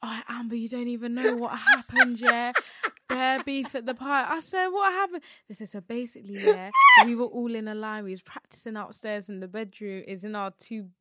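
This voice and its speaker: A woman's voice: fairly high pitch, brisk, very noisy. A young woman co-hosting a podcast, chiming in bluntly and finishing her friend's sentences. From Turkish and African family, raised in London. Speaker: podcast co-host. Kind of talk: casual chat.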